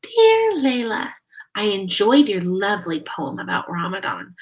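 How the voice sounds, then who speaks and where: A woman voicing a kind female schoolteacher in a storybook, gentle and encouraging. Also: mid-range voice, wildly swooping pitch, a little slow. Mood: pleased, encouraging.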